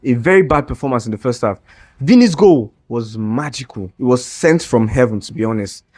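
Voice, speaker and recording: A man's voice: mid-range voice, expressive, brisk, darkish tone. A young man ranting in a heated, exasperated way about a football match, stumbling over restarts and straining his voice. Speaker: football fan vlogger. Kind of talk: ranting reaction video.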